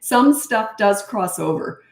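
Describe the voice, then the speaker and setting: A middle-aged woman talking straight to her livestream audience, handing out blunt, practical parenting advice with chatty asides. Her voice is slightly hoarse from talking so much, and her mid-range tone bounces around an echoey room. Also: medium pitch, expressive, takes it slowly, darkish tone. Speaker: parenting coach. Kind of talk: casual livestream Q&A.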